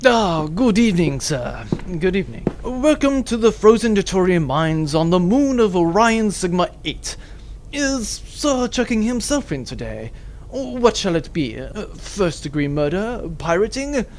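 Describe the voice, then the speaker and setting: A man's voice: high voice, sing-song, measured pace, a little mellow. A man playing a polite, deferential prison clerk with formal concierge patter in a comic audio drama. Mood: obsequious. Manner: obsequious, formal service patter.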